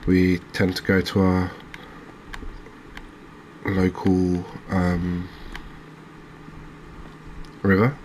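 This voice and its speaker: A man with a low-pitched voice narrates his gameplay in a soft ASMR style. His delivery stays very even, musing along in an unhurried, relaxed way with pauses. Faint ambient noise runs behind him. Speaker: gaming video host. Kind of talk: soft-spoken ASMR game commentary.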